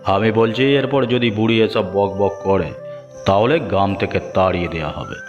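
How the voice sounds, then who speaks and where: A man voices a proud village chief in a cartoon fable. The voice is fairly low and full of stern, pompous authority. Music plays clearly behind the slightly muffled recording. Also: moderate pace, close to an everyday voice. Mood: stern disdain. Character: proud, stern, domineering male village headman. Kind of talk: cartoon moral-story voice acting.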